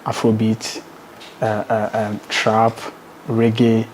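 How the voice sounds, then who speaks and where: A man's voice: lowish voice, monotone, takes it slowly, a little hiss or hum, rough recording. A man with a Ghanaian accent speaking as a musician being interviewed, emphatically, repeating words and posing rhetorical questions. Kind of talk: conversational interview.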